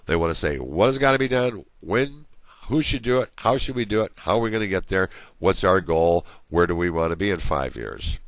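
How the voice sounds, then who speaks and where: Deep voiced guy teaching a management class, a bit hoarse, dry close mic. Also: expressive, fairly quick, no room sound. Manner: conversational, explains with everyday examples. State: losing his voice. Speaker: college management instructor. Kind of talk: recorded slide lecture.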